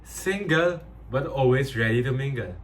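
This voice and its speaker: A man's voice: medium pitch, lively intonation, a little slow, natural voice. A Filipino man playing a boastful, playful, flirtatious IT specialist in an amateur dramatic reading. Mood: cocky. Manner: cocky, playful. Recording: faint background noise.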